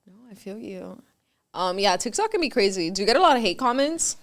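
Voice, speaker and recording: A young woman from Miami hosting a podcast, chatting in an animated way and slipping Spanish phrases into her English. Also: mid-range voice, brisk. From Miami, United States. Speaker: podcast host. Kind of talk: casual interview chat.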